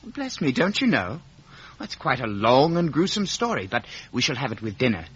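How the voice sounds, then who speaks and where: An actor playing a genial, well-bred young Victorian gentleman in a 1950s radio drama, polite and a little hesitant, on a muffled old recording. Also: mid-range voice, sing-song, normal speed, breathy edge. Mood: cheerful. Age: young adult. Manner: polite, hesitant, good-humoured.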